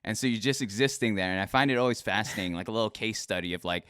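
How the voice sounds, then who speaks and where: A man's voice: fairly low pitch, very fast, fairly bright tone, clean tone, no room sound, clean studio sound. A man hosting a casual interview show, talking in a rambling, friendly way with plenty of fillers and restarts. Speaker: podcast host.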